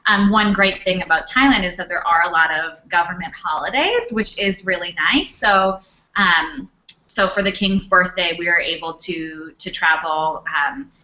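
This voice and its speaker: Chatty young woman, bright lo-fi sound. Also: fairly low pitch, normal speed. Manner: chatty, many fillers. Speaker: panelist, former English teacher. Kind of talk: Q&A panel talk. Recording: dull lo-fi recording.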